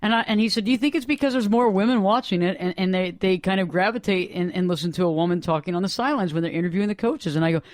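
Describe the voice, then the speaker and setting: A woman's voice: mid-range voice, rapid-fire, few pauses, darkish tone, dry recording, clean studio sound. A woman hosting a live radio talk show, speaking with energy and emphasis and repeating her points.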